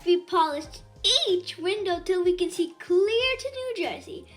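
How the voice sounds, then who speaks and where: Woman, very high voice, lively intonation, animated children's read-aloud, music underneath. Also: unhurried. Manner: animated, dramatizes dialogue. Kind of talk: expressive children's picture book read-aloud.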